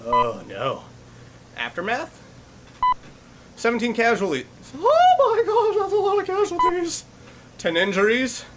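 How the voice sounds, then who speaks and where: A man's voice: very high-pitched, a little slow, pauses often, very noisy. A man giving casual reaction commentary as he exercises, a little short of breath, reading things aloud and commenting on them. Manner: animated, reads on-screen text aloud then reacts; exercising on a bike while talking. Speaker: YouTuber reacting to horror videos.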